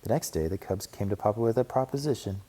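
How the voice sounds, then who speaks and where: Low-pitched man reading a children's story. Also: fast talker, mellow, slight background noise. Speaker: storybook reader. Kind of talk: expressive scripted children's story narration.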